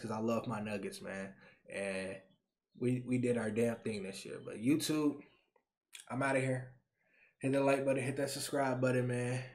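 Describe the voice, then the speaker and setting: A man in his twenties, a YouTube creator, talking to camera in an animated, slangy rant, repeating himself, from a small bedroom on a webcam mic. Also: medium pitch. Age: 20s. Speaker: YouTube sports reaction creator. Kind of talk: excited casual rant to camera.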